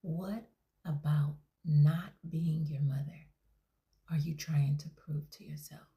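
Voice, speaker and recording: A woman doing a hushed, whispery therapist. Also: fairly low pitch. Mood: soothing. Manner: hushed, breathy near-whisper, slow and gentle. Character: soft-spoken calm female therapist, patient and probing. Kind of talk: comedy sketch acting.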